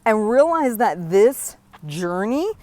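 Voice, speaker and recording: A woman's voice: mid-range voice, very animated, slow pace, darkish tone, no room sound. Earnest woman in her forties, close dry mic. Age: 40s. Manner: earnest, encouraging, direct address with frequent "right?". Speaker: podcast host. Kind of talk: motivational solo talk.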